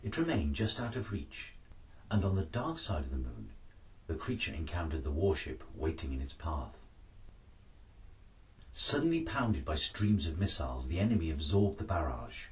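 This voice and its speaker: A man's voice: very deep voice, sing-song, moderate pace, recorded from a distance, poor audio. A man reading an adventure story aloud as a dramatic scripted narration. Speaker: author-narrator reading his book.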